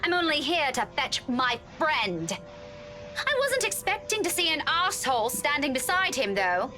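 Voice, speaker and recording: A woman's voice: sits high, big pitch swings, measured pace, bright, forceful tone, full character voice. An actress playing a sassy, outspoken young woman in a video game, blunt and sarcastic. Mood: defiant sarcasm. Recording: background music.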